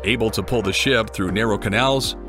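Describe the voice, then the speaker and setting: A man reading a scripted documentary voice-over in a measured, even way, with the occasional odd word slip. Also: on the low side. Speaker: documentary narrator. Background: music.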